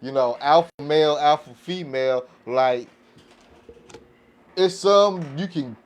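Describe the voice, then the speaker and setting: A man's voice: on the high side, takes it slowly. A man in a casual podcast panel discussion, talking in informal slang and often checking that listeners follow him. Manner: informal slangy talk, repeats "you know what I'm saying".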